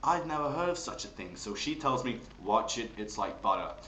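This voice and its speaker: A man's voice: medium pitch, lively intonation, a little fast, sharp tone. Gossipy Long Island lady played by a man, stretched vowels, emphatic, comic, echoey room. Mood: gossipy, delighted. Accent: Long Island New York. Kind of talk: comic character monologue. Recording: roomy, noisy home recording.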